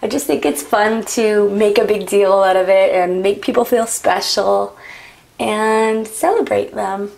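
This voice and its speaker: A young woman with a raspy voice, chatting casually while doing her makeup, rambling with lots of "like" and "um". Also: mid-range voice, fairly even intonation, unhurried, darkish tone. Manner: rambling, frequent "like" and "um". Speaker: beauty vlogger. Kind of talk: chatty get-ready-with-me talk.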